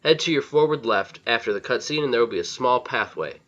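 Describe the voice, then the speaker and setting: A man's voice: medium pitch, animated, fairly quick, a bit bright. A man narrating a video game walkthrough as its host, giving plain step-by-step directions. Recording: slightly dull lo-fi voice-over. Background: faint game music.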